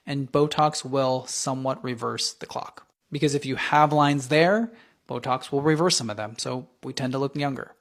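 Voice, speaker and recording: Guy explaining his theories step by step, average pitch, methodical. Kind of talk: explanatory commentary.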